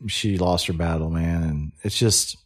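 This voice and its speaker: A man speaks in a fairly low voice as a guest on a podcast. His delivery is folksy and informal, in the loose, conversational style of someone telling stories to friends. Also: steady tone, a little slow. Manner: folksy, informal, peppered with "man" and "you know". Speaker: podcast guest, hat shop owner. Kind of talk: casual interview storytelling.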